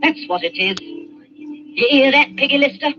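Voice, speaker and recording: A scolding old crone. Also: female voice, high voice, animated, a little slow, background noise. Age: older. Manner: scolding, wheedling, coarse. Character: scheming old woman who trades in stolen corpses. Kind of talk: 1940s radio acting. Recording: muffled old radio recording.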